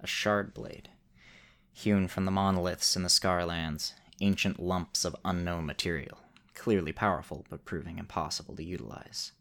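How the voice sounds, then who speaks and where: A man narrating a scripted fantasy radio drama in deliberate, short phrases with dramatic pauses. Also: low-pitched, fairly even intonation, a bit bright.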